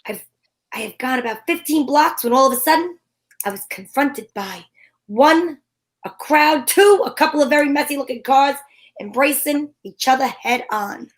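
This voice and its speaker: Female gumshoe actress, high voice, cheeky and wisecracking, slangy noir delivery for vintage-style radio detective drama. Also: animated, normal speed. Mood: wry narrating. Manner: wry, slangy hardboiled delivery. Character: wisecracking female private eye, cheeky and flirtatious, narrating her case.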